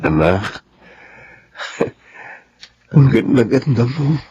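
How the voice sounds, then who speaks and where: A man's voice: mid-range voice, very animated, drawn-out words, warm tone, dull audio. A man acting in a Filipino radio drama as a remorseful, tender soldier husband and father, with a Filipino accent and apologetic delivery.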